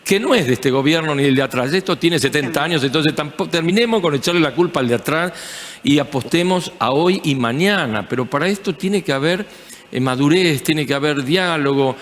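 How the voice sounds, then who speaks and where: A man's voice: fairly high pitch, lively intonation, fairly quick, big-room sound. A man with an Argentine accent hosting a TV panel discussion, talking in an emphatic, agreeable way. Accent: Argentine Spanish. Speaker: TV host.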